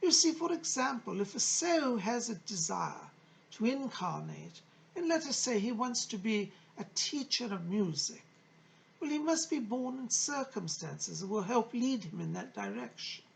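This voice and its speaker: A woman's voice: on the high side, sing-song, a little slow, gravelly, heavily acted, rough recording. A woman voicing an effusive, motherly female spirit of a bygone era, teaching in a theatrical, genteel, endearing manner. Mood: earnest, encouraging.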